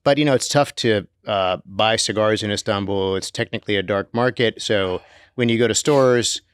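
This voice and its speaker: A man's voice: on the low side, moderate pace, clear, resonant tone, no room sound, clean studio sound. A middle-aged man co-hosting a podcast in casual, jokey conversation. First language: Turkish. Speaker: podcast co-host. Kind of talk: casual chat.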